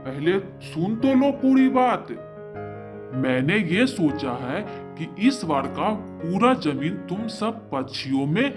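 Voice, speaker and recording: A man voicing an authoritative, generous bird king of the forest, speaking patiently and declaratively in a children's moral-story cartoon. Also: high-pitched.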